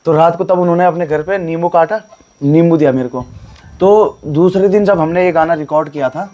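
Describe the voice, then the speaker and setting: A man with a Garhwali accent, a folk singer being interviewed, talking earnestly with a hoarse voice. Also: fairly high pitch, fairly quick, mellow. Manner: plain, earnest storytelling. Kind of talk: casual interview and folk singing.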